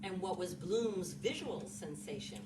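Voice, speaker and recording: A woman's voice: on the low side, lively intonation, measured pace. A woman giving a dramatic reading from a literary text, posing formal questions. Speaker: literary reader. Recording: roomy echo, distant mic, clear noise.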